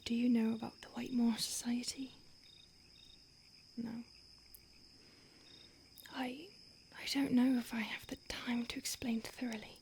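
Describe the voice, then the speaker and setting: Ancient secretive vampire woman, voiced by a woman, hushed whisper throughout, higher-pitched, faint insect noise behind. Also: flat delivery, measured pace, long pauses. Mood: hushed urgent. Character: ancient female vampire on the run, secretive, urgent. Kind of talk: audio roleplay.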